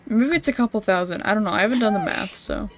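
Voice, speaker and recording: A woman's voice: medium pitch, fast, a little hiss or hum. A young woman livestreaming a video game with casual, rambling commentary, blunt and slangy with some swearing. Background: game music.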